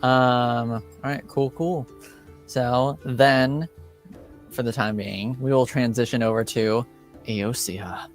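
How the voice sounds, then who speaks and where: A man acting as game master, narrating a tabletop game in a loose, halting way. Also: medium pitch, fairly even intonation, faint music behind. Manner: casual, halting with fillers.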